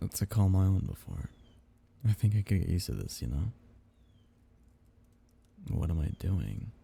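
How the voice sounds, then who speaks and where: Man acting as a husky, dark-voiced vampire count. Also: low-pitched, heavily acted. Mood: affectionate. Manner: soft, teasing, murmured endearments. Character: tender possessive vampire count soothing his captive. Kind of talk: intimate ASMR roleplay acting.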